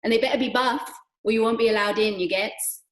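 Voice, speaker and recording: A woman giving an expressive, dramatic literary reading that moves between hushed and emphatic delivery. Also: fairly high pitch, brisk. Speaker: actor reading from a novel. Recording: echoey room.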